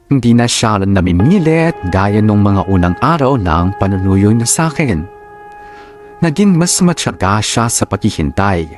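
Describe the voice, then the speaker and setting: Low-voiced man narrating over music. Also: darkish tone. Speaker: drama narrator. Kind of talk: scripted first-person story narration. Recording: music under the voice. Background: background music.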